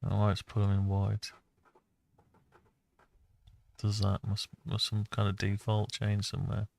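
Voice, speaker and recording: A man's voice: on the low side, monotone, unhurried, vocal fry, a little mellow. A man giving a casual think-aloud tutorial, muttering to himself as he talks through each step. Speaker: host of a CAD design tutorial.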